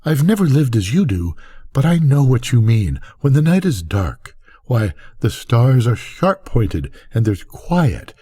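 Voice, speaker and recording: A man's voice: medium pitch, very animated, measured pace, dark tone, husky, pitched above the performer's own voice. An older man reading a story, voicing an eager, intense farm woman who is passionate about her flowers.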